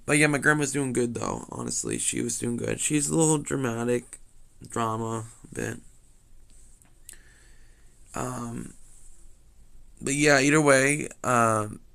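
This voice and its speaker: A young man with a slightly raspy voice telling a rambling story, full of fillers and restarts. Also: mid-range voice, sing-song, takes it slowly, pauses often, a bit bright. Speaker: vlogger.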